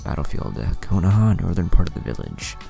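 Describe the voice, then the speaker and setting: A man's voice: sits low, very animated, fairly quick, vocal fry, warm tone, faint music behind. A man narrating a scripted dramatic adventure story.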